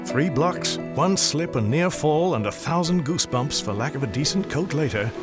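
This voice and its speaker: An actor playing a wry, theatrical narrator of a radio drama, telling the story in an ornate, deliberate way. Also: male voice, a little high, lively intonation, natural voice. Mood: dramatic. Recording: music clearly behind.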